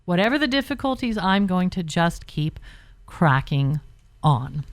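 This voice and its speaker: A woman's voice: lowish voice, big pitch swings, measured pace, no echo. Chatty rambling woman, dry studio sound. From Britain, Bahamas and California. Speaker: radio show guest. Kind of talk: call-in radio chat.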